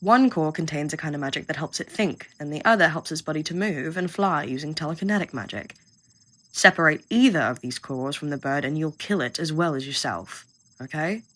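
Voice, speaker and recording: A woman's voice: sits low, a little fast, low-quality recording. A woman playing a curt, condescending government researcher who briefs a recruit with blunt, sardonic impatience in an audio roleplay. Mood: impatient explaining. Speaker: researcher guiding a new recruit. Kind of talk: audio roleplay acting, addressed to listener.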